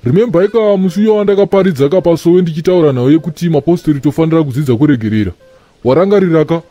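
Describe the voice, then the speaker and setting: A man acts as a preachy Zimbabwean church elder in an animated comedy. His mellow voice sits a little high and moves quickly. He sermonizes with indignant, sanctimonious airs. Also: natural voice.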